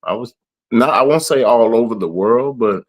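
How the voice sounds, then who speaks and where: A raspy-voiced man in his thirties from the southern United States, a rapper and event planner, talking in a relaxed, rambling way with lots of "you know". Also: mid-range voice, normal speed. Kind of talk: casual interview.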